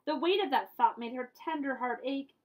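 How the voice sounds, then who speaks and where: A woman's voice: sits high, normal speed. A woman reading a children's picture book aloud as a librarian, with dramatic storytelling emphasis and friendly asides.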